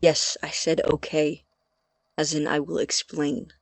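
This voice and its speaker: An actor playing an evasive, pedantic young student experimenter, explaining in halting, formal phrases in a naturalistic audio drama. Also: medium pitch, completely flat delivery, vocal fry, dry recording. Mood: curt.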